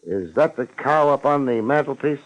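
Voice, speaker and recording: An actor playing a tough, weary private detective in a 1950s radio drama, American accent, terse wisecracking delivery. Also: male voice, medium pitch, animated, normal speed, highs cut off, natural voice. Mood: casual probing. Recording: noisy 1950s radio recording.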